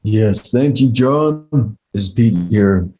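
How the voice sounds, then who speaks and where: A man from Utah speaking informally as a guest at an event, in broken phrases with pauses. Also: mid-range voice, expressive, dark tone, husky. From Navajo Nation, Utah, United States. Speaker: co-founder giving thanks as guest speaker. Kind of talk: informal, heartfelt remarks.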